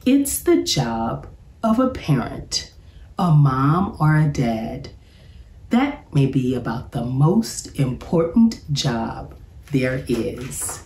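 A cheerful storybook bear professor, read by a woman, explains things to children with emphasis and encouragement. The delivery is slow and bouncy in pitch, with a roomy echo. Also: big pitch swings, a little mellow, distant mic, heavily acted. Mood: encouraging. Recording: roomy, echoey sound.

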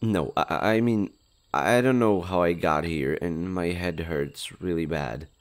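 A shy skinwalker monster, played by a man, with a low male voice and slow, hesitant speech. He stammers and gets flustered, a friendly, nervous creature in an intimate audio roleplay. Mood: flustered, awkward.

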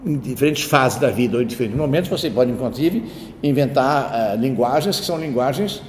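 A man's voice: fairly high pitch, a little mellow. Lecturing man in an echoey hall. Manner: discursive, digressive, with frequent tag questions. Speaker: university lecturer. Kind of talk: spoken lecture. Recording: roomy hall with faint ambient noise.

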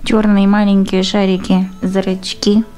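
A woman's voice: medium pitch, level tone, a little slow, mellow, smooth, soft background music. A woman giving step-by-step craft instructions in short phrases, full of fond diminutives. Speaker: craft tutorial presenter.

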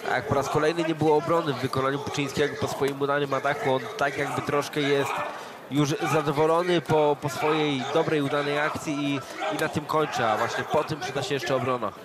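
A man's voice: fairly high pitch, steady tone, brisk, heavy background noise. A man giving expert co-commentary on a boxing fight, breaking down the fighters' technique and mistakes. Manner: analytical, critical of technique. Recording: commentary over a loud crowd.

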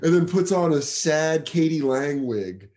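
A man trading crude jokes on a comedy podcast, animated and laughing, speaking into a studio mic over a video call. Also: a little high, slow pace. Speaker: comedian guest.

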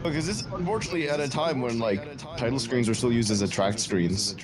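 A man's voice: medium pitch, fast, crowd noise. A man giving casual co-commentary on a speedrun, joking and asking mock-skeptical questions. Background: video game music.

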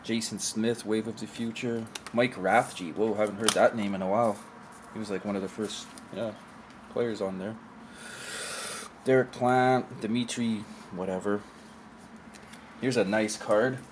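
A man's voice: fairly low pitch, fairly even intonation, measured pace, slight background noise. A young man casually showing off old collectible cards in a room at home, talking offhand into a camera mic on the table and often trailing off. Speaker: card collector vlogger. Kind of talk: casual card showcase commentary. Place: indoor room with shelf of collectibles.

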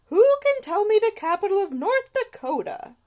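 A woman voicing a prim schoolteacher, loud and high as she questions a pupil. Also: very animated, moderate pace. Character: shrill grown-up female schoolteacher.

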